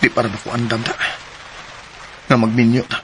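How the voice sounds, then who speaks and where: An actor playing a hesitant young boyfriend in a radio drama, coaxing and pleading with a Cebuano Filipino accent. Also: male voice, medium pitch, completely flat delivery, brisk, loud music behind the voice, natural voice, rough recording. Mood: anxious, unsure. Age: young adult.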